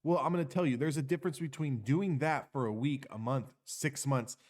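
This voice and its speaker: A man's voice: mid-range voice, fairly quick, darkish tone. A man giving an unscripted motivational monologue. He talks emphatically, repeats phrases for effect, tags lines with "okay?" and swears casually. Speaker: motivational speaker.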